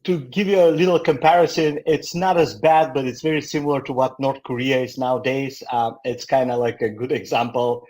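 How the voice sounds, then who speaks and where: Halting Bulgarian-accented man telling his story. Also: medium pitch, steady tone, darkish tone. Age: middle-aged or older. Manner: halting, candid. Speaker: guest, company founder. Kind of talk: personal storytelling in an interview.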